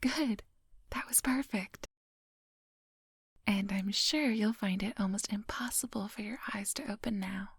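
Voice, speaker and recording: A woman playing a coolly menacing, coaxing vampire hypnotist in a soft whispered ASMR roleplay, close to the microphone. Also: mid-range voice, breathy edge, no room sound. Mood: hypnotic, soothing. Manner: slow, coaxing, hypnotic instructions. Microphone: close microphone, dry.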